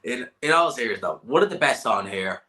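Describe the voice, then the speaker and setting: Bright-toned man hosting a banter-filled boxing stream. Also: mid-range voice, expressive, brisk, low-quality recording. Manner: jokey, banter-heavy, laughs often. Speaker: boxing channel host. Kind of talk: casual live-stream chat.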